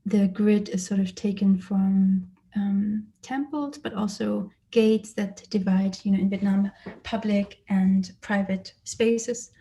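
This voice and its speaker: A woman who grew up in Germany, an artist giving a lecture about her exhibition and speaking thoughtfully with frequent pauses and fillers. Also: medium pitch, steady tone, warm tone. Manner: hesitant, many fillers and restarts, thoughtful. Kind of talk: lecture presentation. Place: lecture or online presentation.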